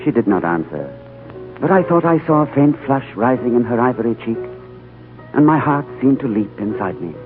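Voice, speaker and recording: A man's voice: mid-range voice, animated, moderate pace, close to an everyday voice. An actor playing an earnest, thoughtful younger man who narrates an adventure in 1940s radio drama style, with a dramatic, reverent delivery. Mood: reflective, hushed. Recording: tinny 1940s radio recording with music.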